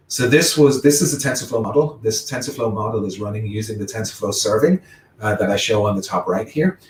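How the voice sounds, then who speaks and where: Low-voiced man with a mixed accent, roomy. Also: distant mic, a little hiss or hum. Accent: mixed Irish, Welsh, English and American. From Ireland, Wales, England and the United States. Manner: explanatory, walking through examples step by step. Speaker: guest speaker. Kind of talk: technical talk.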